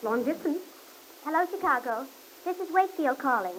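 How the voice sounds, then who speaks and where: High-voiced, brisk telephone operator. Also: female voice, lively intonation. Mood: brisk, efficient. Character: brisk, formal female telephone switchboard operator. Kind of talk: old radio serial acting. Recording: noisy vintage radio recording.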